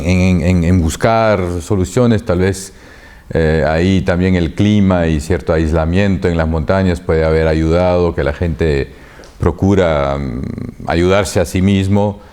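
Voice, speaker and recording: Low, mellow-voiced man speaking haltingly. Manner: halting, many repeated words and pauses. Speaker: interviewee. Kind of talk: thoughtful conversational answer.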